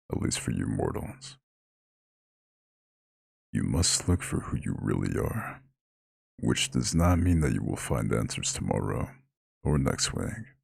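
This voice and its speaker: A man voicing an ancient eldritch god in an ASMR roleplay, proud and lordly yet tender, with a very deep, husky, gravelly growl. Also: dry recording, studio quality, heavily acted. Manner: lordly, formal, slow comforting address. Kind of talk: scripted ASMR roleplay monologue.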